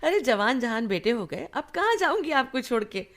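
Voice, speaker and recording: An actress in a radio play as an affectionate, nostalgic married elder sister and mother, speaking gently and expressively. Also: on the high side, very animated, normal speed. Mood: teasing, fond.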